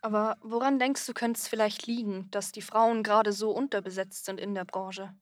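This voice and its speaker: A woman co-hosting a podcast speaks in a flat, even tone with little melody. She asks long, winding questions. The recording is dry and close. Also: mid-range voice, normal speed, no echo. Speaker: podcast co-host. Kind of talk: hosting an interview.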